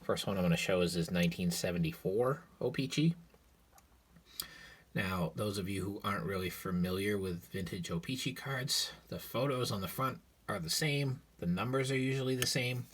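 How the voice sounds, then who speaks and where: A man with a raspy, hoarse voice from a cold, casually showing off his collection at home in a rambling vlog, filmed on his cell phone. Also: on the low side, normal speed, a little hiss or hum. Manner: relaxed, rambling, with frequent fillers. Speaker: sports card collector vlogger. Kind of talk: casual show-and-tell vlog.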